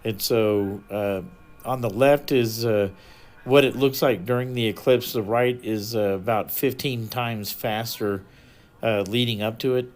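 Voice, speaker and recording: A man giving a casual, unscripted, matter-of-fact explanation as a hobby radio vlogger, speaking haltingly. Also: on the low side, steady tone.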